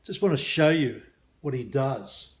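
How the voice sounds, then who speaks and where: A man's voice: mid-range voice, expressive, a little slow, a little mellow, a little hiss or hum. Matey Australian man chatting casually to viewers. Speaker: business mindset coach and hypnosis practitioner.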